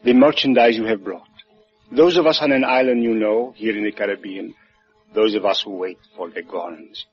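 An actor playing an earnest, courteous revolutionary with a Spanish accent, formal and solemn, in 1950s radio drama style. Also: male voice, fairly low pitch, fairly even intonation, faint music behind, natural voice. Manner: formal, deliberate, polite. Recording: muffled old 1950s radio recording.

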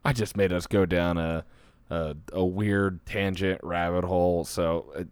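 A male podcast co-host with a Delaware County, Philadelphia accent and a lowish voice that carries a touch of vocal fry. He talks in a loose, casual way, recorded dry and close to the mic. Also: fairly even intonation, measured pace, dry recording. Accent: Delaware County, Philadelphia area. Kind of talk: casual chat.

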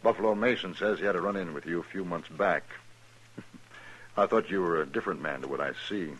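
An actor playing a cool, self-assured outlaw gang boss with a taunting, sardonic manner in a radio western. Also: male voice, low voice, a little fast, a bit bright, slightly rough, low-quality recording. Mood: mocking. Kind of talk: 1950s radio western acting.

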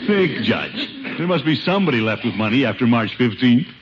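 An actor playing a blustering, scheming but good-hearted middle-aged uncle in a theatrical 1950s radio comedy style. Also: male voice, mid-range voice, very animated, a little fast, natural voice. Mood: blustery, grumbling. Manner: blustery, theatrical, self-important. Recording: muffled, noisy vintage radio recording.

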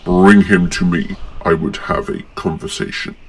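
A man playing an imposing dark lord commander in a fan audio drama, cold, formal and menacing. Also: sits low, huge pitch swings, moderate pace, vocal fry, exaggerated voice. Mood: grave, commanding.

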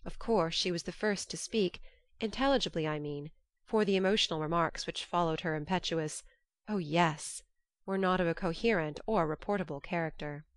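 A woman's voice: on the low side, a little fast, no room sound. A woman reading scripted literary narration as an audiobook narrator.

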